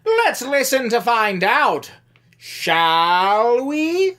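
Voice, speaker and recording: A woman's voice: a little high, big pitch swings, extremely slow, fairly bright tone, exaggerated voice. A woman playing an arch, playful storyteller narrating an old-time style radio serial. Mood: playful suspense.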